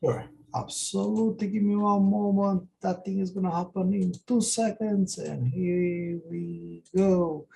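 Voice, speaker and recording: A man hosting a video call, calmly helping set up a screen share. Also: sits high, unhurried, creaky, hardly any pauses, a little hiss or hum, low-quality recording. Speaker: host.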